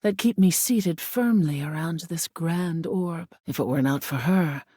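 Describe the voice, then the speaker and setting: A woman voicing an ancient, aloof female spirit of air in a video game, with a husky, breathy voice that often sinks to a whisper and a solemn, formal delivery. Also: sits low, unhurried, warm tone, no echo, clean studio sound. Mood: awed, solemn. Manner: languid, imperious, solemn, formal diction. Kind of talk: video game character acting.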